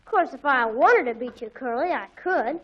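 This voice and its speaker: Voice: high-pitched, animated, moderate pace, husky, muffled recording. An actor playing a pompous, wordy little brother in a 1940s radio comedy. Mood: boastful, combative. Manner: pompous big words, emphatic declarations. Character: bright, pompous, wordy boy, Judy's younger brother, combative. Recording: old 1940s radio broadcast.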